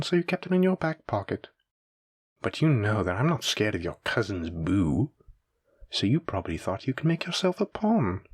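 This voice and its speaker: A man's voice: on the low side, no echo, clean studio sound. A man playing a suave, menacing mafia boss in a roleplay audio drama, with a theatrical, teasing and condescending manner. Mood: amused, teasing. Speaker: voice actor. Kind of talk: scripted roleplay audio drama addressed to listener.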